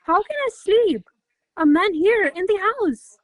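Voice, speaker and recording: Woman as imperious noble lady, high voice, hot-tempered, commanding, theatrical radio drama, close dry sound. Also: lively intonation, measured pace, no room sound. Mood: indignant, sarcastic. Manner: haughty, commanding, theatrical exclamations. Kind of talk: radio play acting of a classic comedy.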